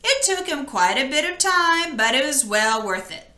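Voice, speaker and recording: Animated, bright-voiced woman reading a storybook. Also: high-pitched, normal speed. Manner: animated, addresses listeners directly. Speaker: storybook reader. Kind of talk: expressive children's read-aloud. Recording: echoey room.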